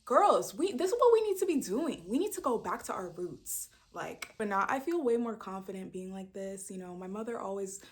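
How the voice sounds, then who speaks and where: A woman in her early twenties from Brooklyn, New York, talks casually straight to camera. Her speech is chatty and loose, full of fillers, and she swings her pitch up sharply to stress key words. She sounds close to the mic. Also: moderate pace, hardly any pauses. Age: 20s. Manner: chatty and animated, lots of fillers, sudden loud pitch jumps for emphasis. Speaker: vlogger introducing her channel. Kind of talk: casual direct-to-camera chat.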